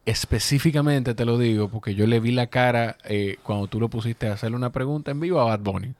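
A man's voice: mid-range voice, fast, darkish tone, dry recording. A man hosting an interview, chatting casually and giving short, supportive replies.

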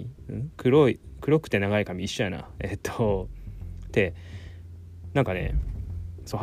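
Low, gravelly man chatting over music. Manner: giggly, self-amused. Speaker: host of a solo talk show. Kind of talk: casual rambling chat. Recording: music playing behind the voice. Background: background music.

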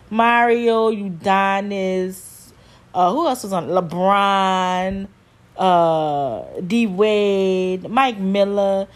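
A woman in her thirties hosting a podcast, talking casually about sports in a chatty, emphatic way and repeating her points. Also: mid-range voice. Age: 30s. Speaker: podcast host.

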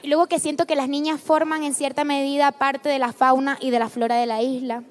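A woman novelist speaking frankly and articulately on a panel, in long, steady sentences. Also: sits high, brisk. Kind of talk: fluent panel discussion.

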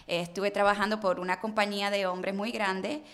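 A woman tells her story in a conversational interview. Her voice sits at an average pitch, and she slips English words into her speech as she goes. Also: level tone, normal speed, fairly bright tone. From Puerto Rico and the United States. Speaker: interview guest, car business entrepreneur.

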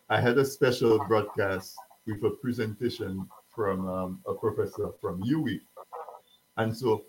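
A man's voice: fairly low pitch, rough recording. A man hosting a broadcast show, talking in an eager, chatty way and jumping in with questions. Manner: eager, talkative, jumps in with questions. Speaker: show host. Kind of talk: casual interview chat.

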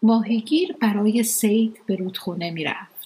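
A woman narrating a children's radio play in an animated storybook style. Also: medium pitch, background noise, close to an everyday voice. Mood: storytelling. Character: lively female storyteller of a fairy tale.

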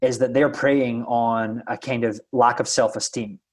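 A man's voice: mid-range voice, level tone, unhurried. Scottish man arguing a point earnestly. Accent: Scottish, somewhat flattened. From Scotland. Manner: earnest, argumentative, frequent tag questions. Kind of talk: conversational opinion in a discussion.

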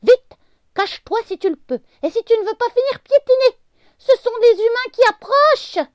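A woman voicing a small, cheeky, lively earthworm with a squeaky, excited voice. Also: slow, dry recording, highs cut off, exaggerated voice. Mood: urgent.

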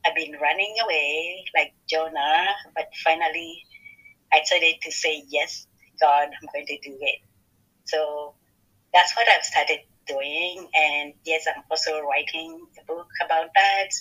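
A woman's voice: low voice, steady tone, a little slow, fairly bright tone, slightly rough, rough recording. A woman from Kenya, a podcast co-host, talking earnestly and reflectively about her faith and work. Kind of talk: faith-based podcast conversation.

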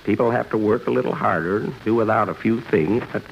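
Creaky, folksy old-timer, muffled radio. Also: male voice, lowish voice, quick pace, slight background noise. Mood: folksy, advising. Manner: folksy, rustic phrasing. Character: the Old Year, folksy kindly old-timer handing over. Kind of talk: 1950s radio comedy acting.

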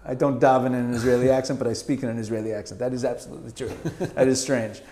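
A middle-aged man from Canada answers interview questions in a loose, animated way, his voice sitting at an ordinary pitch. He often restarts and repeats words before landing a thought. He laughs easily as he talks. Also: racing, slight background noise. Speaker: interview guest. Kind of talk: casual conversational interview.

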